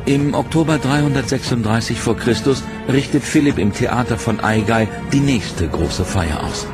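Male documentary narrator over music. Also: mid-range voice. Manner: measured, dramatic.

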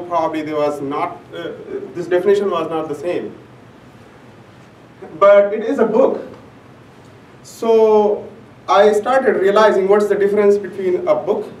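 A man's voice: high-pitched, darkish tone. A young man whose first language is Urdu, giving an academic conference talk with many fillers and restarts. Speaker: conference presenter. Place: conference room, large and indoors. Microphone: room camera mic from far off. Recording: echoey room, distant mic, faint hum.

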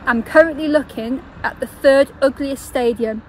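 A woman's voice: sits high, normal speed, rough recording. A young woman giving chatty, opinionated vlog commentary outdoors, filmed on a handheld phone. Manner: chatty, opinionated, self-interrupting. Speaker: vlogger. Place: outdoors by a football stadium. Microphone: handheld phone at arm's length. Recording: outdoor noise behind the voice.

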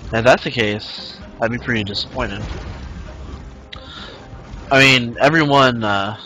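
A man's voice: mid-range voice, a little slow, bright, forceful tone, faint music behind, rough recording. A man in his twenties casually streaming a game, rambling and reading the chat aloud. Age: 20s. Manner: rambling, reads chat aloud, many fillers. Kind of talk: casual live-stream chat while gaming.

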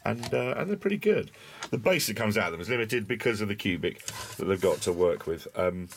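A middle-aged British man talks casually through a product review with wry, colloquial asides. His voice is very low and noticeably gravelly. It is recorded on a camera at a desk, with faint background noise and small clicks. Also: animated, brisk. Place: small cluttered indoor desk workspace.

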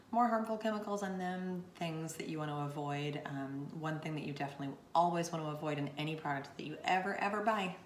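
A woman in her thirties, slightly hoarse from losing her voice, chatting through a home how-to demonstration at her bathroom counter. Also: low-pitched. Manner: chatty, explains while demonstrating with hands. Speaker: home DIY vlogger. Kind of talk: casual how-to vlog. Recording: roomy home recording, faint hiss.

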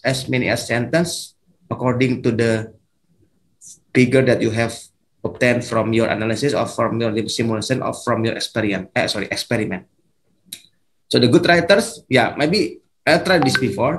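A man with an Indonesian accent, a native Indonesian speaker, giving an online academic lecture in a halting way, full of fillers and restarts. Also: medium pitch. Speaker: guest lecturer.

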